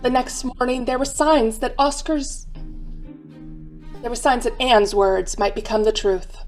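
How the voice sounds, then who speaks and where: A woman's voice: on the high side, steady tone, a little slow, a little mellow, soft background music. A woman giving dramatic scripted narration for a mystery radio play, wry and suspenseful.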